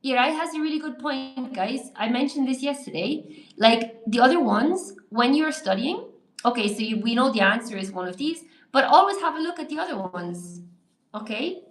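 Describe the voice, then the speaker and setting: A woman with a fairly high voice teaches English live online, answering viewers' messages between quiz questions. She speaks in a chatty, encouraging way while she types. The sound is roomy, with noticeable echo. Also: lively intonation, normal speed. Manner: chatty, encouraging, reacts to chat while typing. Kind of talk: live-stream lesson reading viewer comments. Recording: echoey room.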